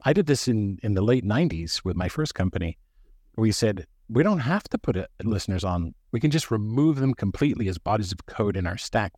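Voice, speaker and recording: Guy telling security war stories, kinda low voice, dry sound. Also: a little mellow, no room sound. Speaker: cybersecurity executive guest. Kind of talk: podcast conversation.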